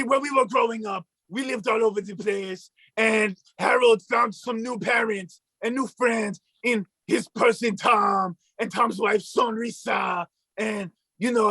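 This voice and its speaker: A man doing a gravelly, shouty puppet braggart. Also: high-pitched, no echo, heavily acted. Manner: shouting, bragging, mock-villainous. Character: boastful mustached male puppet, petty schemer. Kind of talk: comedic puppet bit on a talk show.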